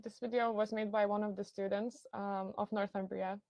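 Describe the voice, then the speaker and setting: A woman's voice: mid-range voice, flat delivery, normal speed, creaky, darkish tone, no room sound. A young woman from Lithuania with a Lithuanian accent, giving a university recruitment webinar in a friendly, informative way with many fillers. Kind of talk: webinar presentation. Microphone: computer mic on a video call.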